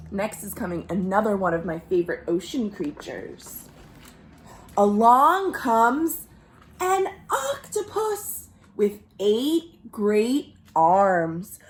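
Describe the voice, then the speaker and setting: A woman reading aloud expressively to young children as a librarian, with playful asides and dramatic pauses. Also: a little high. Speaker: children's librarian, storytime reader.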